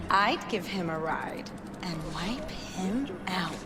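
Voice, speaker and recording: A woman's voice: medium pitch, lively intonation, drawn-out words, crowd noise. A woman playing a flirty, gossiping hotel worker in a video game.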